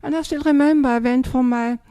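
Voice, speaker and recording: A woman's voice: high voice, fairly even intonation, fairly quick, warm tone, smooth, no echo. An older woman, a Buddhist nun from Austria with an Austrian German accent, giving a chatty dharma talk that keeps checking in with "yeah?" and "yes?".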